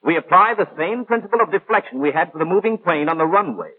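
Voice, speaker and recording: Pedantic film instructor, high lively voice, formal didactic delivery, muffled vintage soundtrack. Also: male voice, fast. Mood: explanatory. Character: male instructor, patient, precise, pedantic lecturer. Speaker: instructor narrator. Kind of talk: 1940s training film narration.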